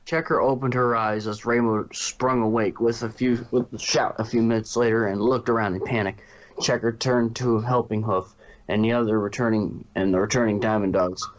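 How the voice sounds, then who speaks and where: Low-voiced man narrating a story. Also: steady tone, moderate pace, dull audio. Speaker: fan fiction reader. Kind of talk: story narration, read aloud.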